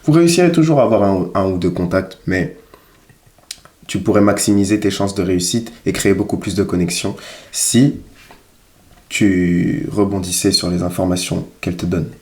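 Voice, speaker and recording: A man with a fairly low voice speaks in a very roomy, echoing space. He gives casual critical commentary like a coach, repeating phrases for emphasis. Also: moderate pace, darkish tone. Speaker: dating coach reviewing footage.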